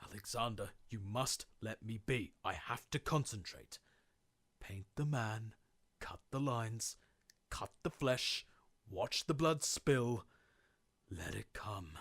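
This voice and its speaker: A man's voice: on the low side, lively intonation, takes it slowly, fairly bright tone, no room sound, clean studio sound, heavily acted. A man playing a tormented, guilt-ridden diarist sinking into madness, in an intense, formal horror-game monologue. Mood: urgent, menacing. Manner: theatrical, formal old-fashioned diction, intense. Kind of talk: dramatic monologue, video game horror fandub.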